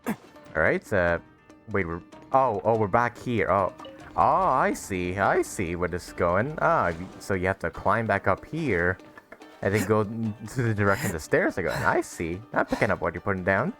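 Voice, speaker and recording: A man's voice: fairly low pitch, big pitch swings, normal speed. A man doing casual let's-play commentary, easygoing and thinking aloud as he plays. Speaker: gaming streamer. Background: soft game music.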